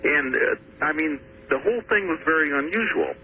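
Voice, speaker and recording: A man's voice: on the high side, animated, moderate pace. A man calling in to a radio show, giving a personal account in a hesitant, earnest way. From Washington state, US. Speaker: phone-in caller. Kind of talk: personal account told on air. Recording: thin, noisy phone line to radio.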